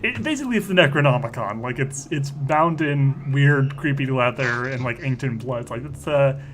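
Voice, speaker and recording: A man's voice: medium pitch, lively intonation, normal speed, background noise. A man hosting and playing a tabletop roleplaying game, chatting casually in a rambling, stammering, jokey way.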